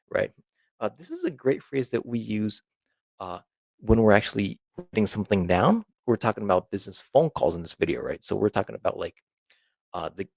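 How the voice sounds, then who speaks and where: Guy with a low creaky voice doing a casual language lesson, really dry close sound, keeps checking you follow. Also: darkish tone, no room sound. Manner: conversational, checks in often with right and okay. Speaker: language teacher presenting a lesson. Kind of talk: informal explanatory lesson with repeated example phrases.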